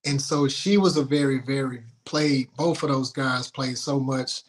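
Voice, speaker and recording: A man telling personal stories casually as an interview guest, in an informal, halting, colloquial way. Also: medium pitch. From Houston, Texas, United States.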